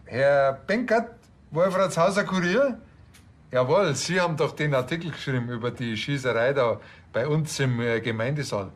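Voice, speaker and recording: A man's voice: medium pitch, normal speed, lightly acted. An actor playing a wily, chatty small-town policeman with a folksy Bavarian accent in a TV crime comedy. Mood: eagerly official. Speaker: police officer.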